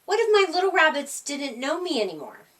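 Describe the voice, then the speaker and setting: A woman's voice: high voice, sing-song, brisk, fairly bright tone, distant mic, pitched above the performer's own voice. A woman reading a children's storybook as an affectionate, motherly rabbit devoted to her babies. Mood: tender worry.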